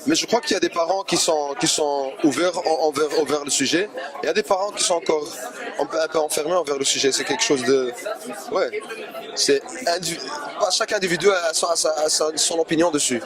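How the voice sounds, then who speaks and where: A man's voice: on the high side, brisk, music in the background. A man being interviewed, speaking casually and hesitantly, often laughing as he explains.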